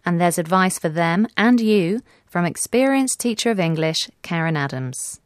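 A woman's voice: on the low side, animated, moderate pace, no echo, studio quality. A woman announcer reading a scripted introduction to a radio programme in a formal way.

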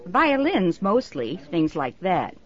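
An actress playing an elderly woman from Germany, a brisk, matter-of-fact nursing home resident. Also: fairly low pitch, very animated. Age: older. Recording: dull lo-fi recording.

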